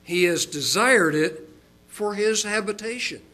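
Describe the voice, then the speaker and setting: Folksy older Oklahoma pastor. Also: male voice, pushed and bright. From Oklahoma, United States. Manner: folksy and chatty, with chuckles and fillers; slows and rises in pitch for emphasis. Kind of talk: sermon.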